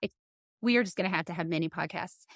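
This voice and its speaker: A woman's voice: fairly low pitch, fast talker, no room sound, studio quality. A woman hosting a podcast in casual, reflective conversation, thoughtfully choosing her words. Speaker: podcast host.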